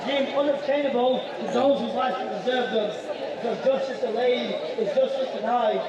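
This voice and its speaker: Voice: mid-range voice, moderate pace, highs cut off. A protest speaker giving a declamatory, emphatic political speech with rhetorical repetition. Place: outdoors at a rally. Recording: distant, echoing, noisy outdoor crowd.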